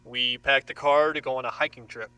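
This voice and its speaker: A man's voice: mid-range voice, fairly even intonation, brisk, pushed and bright, clear, resonant tone. An actor in an audio drama playing a frightened, defiant young man held captive, with an agitated, confrontational manner. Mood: subdued.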